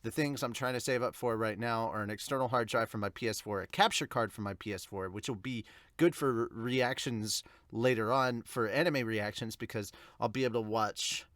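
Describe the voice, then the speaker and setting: A man's voice: mid-range voice, fairly quick, fairly bright tone, dry recording, clean studio sound. A man hosting a manga review podcast, chatting to viewers in an informal, rambling way. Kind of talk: casual chat to viewers.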